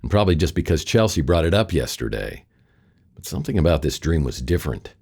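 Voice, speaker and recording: An American actor playing a wry, world-weary private detective in a noir radio drama, talking and narrating with dry, sardonic delivery. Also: male voice, very deep voice, sing-song, fast talker, darkish tone, breathy edge, exaggerated voice. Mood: wry, unsettled.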